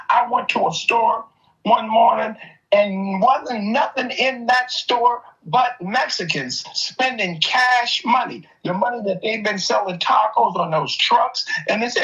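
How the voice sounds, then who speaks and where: A man's voice: high voice, bright, forceful tone. An older man in casual, opinionated conversation, telling stories in an emphatic, streetwise way. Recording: dull, lo-fi audio.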